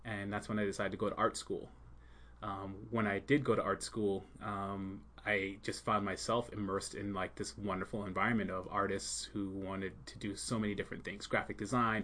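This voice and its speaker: Soft-spoken low-voiced man, unhurried. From Jamaica. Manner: soft-spoken, many ums, thoughtful pauses. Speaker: school leader and art teacher. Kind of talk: casual podcast interview.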